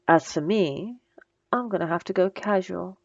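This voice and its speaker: A woman playing a bossy, sarcastic supervillain with a soft spot, in a teasing, dry, conversational ASMR roleplay. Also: lowish voice, moderate pace, creaky, dry recording, dull audio. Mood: bossy, sardonic. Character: female supervillain, bossy and sarcastic, secretly flustered by compliments. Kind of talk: ASMR roleplay audio drama.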